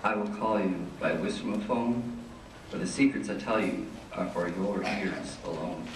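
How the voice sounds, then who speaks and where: A man playing a secretive, nostalgic old hermit who tells his tale in rhyming stage verse with theatrical, drawn-out delivery. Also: low voice. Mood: secretive, wistful. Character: secretive old male hermit creature, nostalgic, boastful, wheedling storyteller. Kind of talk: readers theater verse performance. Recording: roomy, distant, faint noise.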